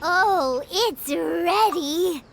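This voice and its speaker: Very high, squeaky little fairy. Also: female voice, animated, very slow, creaky, heavily acted. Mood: busy, upbeat. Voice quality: squeaky, childlike. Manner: exclamatory, bubbly, speaks of self by name. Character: tiny, chirpy, childlike fairy companion, loyal and blunt. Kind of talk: anime-style game voice acting.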